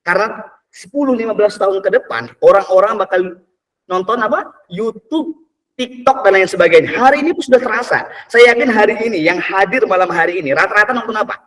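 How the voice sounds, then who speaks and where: A man in his early twenties giving an energetic motivational talk to an audience, repeating phrases and calling out to the crowd. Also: sits high, fairly quick, far from the mic, low-quality recording. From Indonesia. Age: 20s. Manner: energetic, repetitive, calls out "teman-teman" often. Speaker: motivational speaker.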